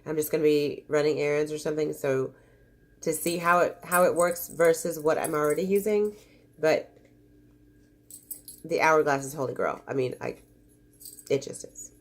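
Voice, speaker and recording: Stuffy-nosed woman, casual beauty vlogger, gushing and chatty. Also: sits low. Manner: chatty, gushing, easily disappointed. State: congested. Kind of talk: casual unboxing chat.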